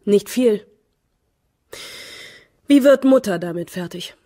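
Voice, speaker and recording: A husky, emotionally guarded woman. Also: mid-range voice, animated, slow pace, warm tone. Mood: cold, detached. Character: cold, emotionally detached woman with a fearful streak. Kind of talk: German radio crime drama acting.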